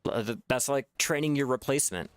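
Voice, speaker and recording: A man's voice: medium pitch, brisk, clear, resonant tone, no room sound. A man making gaming videos, ranting in a frustrated way with lots of swearing and restarts. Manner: frustrated, profane, repetitive restarts. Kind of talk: ranting gameplay commentary.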